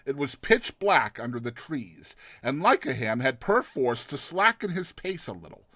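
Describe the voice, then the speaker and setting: A man narrating a literary short story in a theatrical, expressive storytelling manner. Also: mid-range voice, moderate pace, no echo, clean studio sound.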